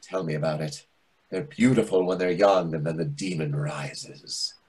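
A man's voice: low-pitched, moderate pace, darkish tone, slightly rough. A man in his thirties playing a dramatic, flustered, camp waiter in a play reading over a video call, indignant and arch. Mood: exasperated, arch. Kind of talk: readers theatre play reading.

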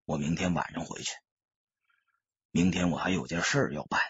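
A man voicing a remorseful young ex-soldier turned gangster in an audiobook, speaking in a subdued, halting, resolute manner. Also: extremely low pitch, fairly even intonation, slightly rough, no echo, dull audio, pitched below the performer's own voice, full character voice. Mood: grave, subdued. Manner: hushed, heavy, halting. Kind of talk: audiobook character acting.

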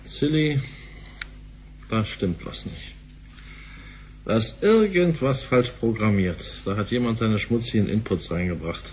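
An actor playing an insistent, suspicious former bank partner in a sci-fi radio play. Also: male voice, on the low side, lively intonation, a little slow, pauses often, a little mellow, a little hiss or hum, low-quality recording. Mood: agitated, urgent.

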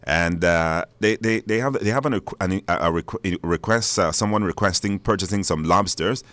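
A man hosting a live radio phone-in, speaking haltingly and repeating words. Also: low voice, a bit bright, dry recording. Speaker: radio talk show host. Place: radio studio.

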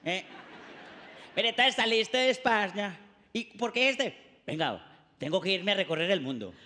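A man's voice: high voice, lively intonation, unhurried, pushed and bright, big-room sound. A middle-aged man hosting a comic talk show, teasing and playful in a jumpy way. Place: TV studio set.

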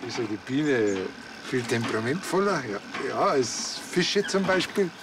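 A man's voice: mid-range voice, lively intonation, unhurried, recorded from a distance, noisy background. Chatty Bavarian village policeman. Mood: chatty, earnest. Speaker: police officer. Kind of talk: TV crime comedy acting.

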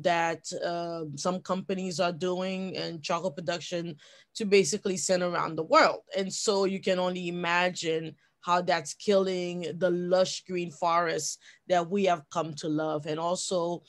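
A woman from Haiti, slowly and thoughtfully presenting her photos on a video call, with frequent pauses and fillers. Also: fairly low pitch. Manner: slow, thoughtful, hesitant with fillers. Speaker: workshop participant.

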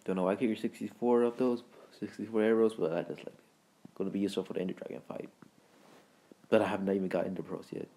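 A man hosting a gaming video with casual, rambling, halting commentary in informal words. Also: on the low side, little pitch movement, mellow, poor audio. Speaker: gaming video creator and host.

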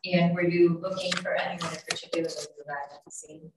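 An older woman questioning a witness as prosecutor in a formal, measured, procedural way over a courtroom video call. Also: on the low side, steady tone. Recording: echoey courtroom, distant mic, faint noise.

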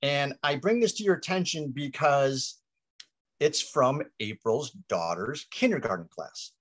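A man's voice: medium pitch, a little slow, no room sound. A man in his fifties hosting a virtual wine tasting over a video call, talking energetically outdoors by a vineyard. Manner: energetic, gestures with hands while explaining. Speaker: host. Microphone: video call webcam.

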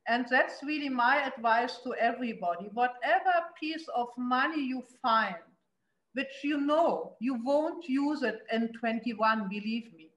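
A middle-aged woman with a German accent, speaking earnestly on a webcam call. Her voice sits a little high and rings in the room, and she stops and restarts often as she presses her points. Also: a little slow, a bit bright, echoey room, rough recording. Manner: earnest, emphatic, frequent restarts and fillers. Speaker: city investment promotion official. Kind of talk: panel discussion on a video call. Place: indoor room at home. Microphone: laptop webcam on a video call.

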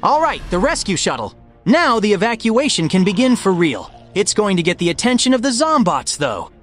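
Man voicing a high, animated young hedgehog hero. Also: moderate pace, soft background music. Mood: strained, urgent. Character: confident, heroic young male blue hedgehog. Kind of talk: comic dub voice acting.

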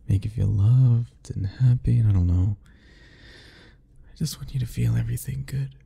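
Deep, gravelly, husky young man. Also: dark tone. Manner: hesitant, tender, musing aloud. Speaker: ASMR roleplay performer. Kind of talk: soft-spoken ASMR roleplay.

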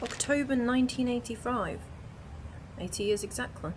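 A woman's voice: on the high side, moderate pace, slight background noise, natural voice. A woman playing a curious, sceptical young companion from the future in a fan audio drama. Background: music.

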